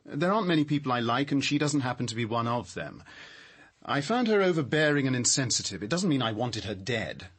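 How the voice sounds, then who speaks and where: An actor playing a prickly, sardonic scientist in a radio crime drama, speaking in a dry, articulate way. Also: male voice, medium pitch, very animated, a little fast, a bit bright, breathy edge. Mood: defiant, dry. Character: prickly, sardonic male power station scientist.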